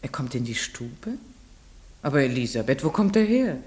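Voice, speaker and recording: Village schoolteacher, young woman, measured and gently probing; husky mid voice, dry studio. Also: a bass voice, big pitch swings, dry recording, full character voice. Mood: questioning. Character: young unmarried female village teacher, strict but kind. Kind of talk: 1950s radio drama acting with first-person narration.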